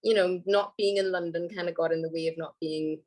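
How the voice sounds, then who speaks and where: A woman, hoarse from a cold, speaking on a video call as a panel speaker, thoughtful with many ums and restarts. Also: fairly low pitch, fairly even intonation, fairly quick, a little mellow. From Trinidad and Tobago. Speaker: panel speaker, environmental lawyer. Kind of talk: casual panel talk.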